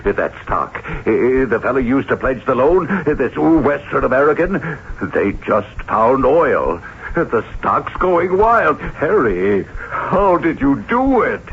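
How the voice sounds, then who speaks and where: Slow, emphatic bank boss. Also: male voice, mid-range voice, very animated. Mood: astonished. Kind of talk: old-time radio drama acting. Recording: muffled, hissy old radio broadcast.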